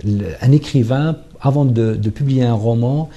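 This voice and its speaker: A man's voice: mid-range voice, moderate pace, mellow, lo-fi sound. A man, a writer being interviewed on TV, speaking hesitantly with many fillers and restarts. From Algeria. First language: Kabyle. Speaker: guest writer.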